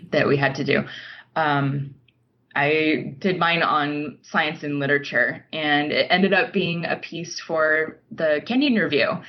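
A woman with a lowish voice talks at a very leisurely pace as an interview guest. She is informal and earnest, thinking as she goes. The sound is a little dull and lo-fi. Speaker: interview guest, nonfiction writer. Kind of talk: casual conversational interview.

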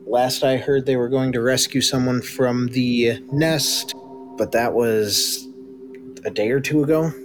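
A man voicing a weary, guarded older woman survivor who answers in halting, hesitant bursts. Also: mid-range voice, fairly even intonation, music in the background, lightly acted.

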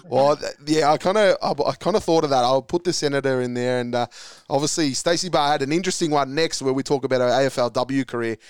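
Upbeat Australian male quiz host, smooth and crisp, dry close recording. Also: mid-range voice, quick pace, dry recording. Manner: upbeat, reads clues then calls answers. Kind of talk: hosting a podcast sports quiz.

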